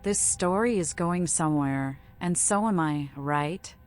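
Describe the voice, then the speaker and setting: A woman's voice: fairly low pitch, unhurried, faint music behind, lightly acted. A woman voicing a mad, flippant vampire seer in a video game, with a whimsical, childlike, erratic delivery. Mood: scatterbrained.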